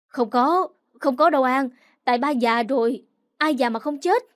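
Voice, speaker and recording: A woman reads a hot-tempered older brother from an audio story who blusters and brushes off blame. The voice stays high and close to her own. It sounds close-miked and dry. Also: moderate pace, dry recording, close to an everyday voice. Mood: defensive. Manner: defensive, blustering.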